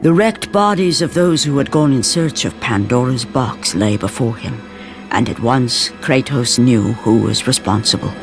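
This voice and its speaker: A man giving grave, measured, scripted narration for a video game story. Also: medium pitch. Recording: loud music score behind.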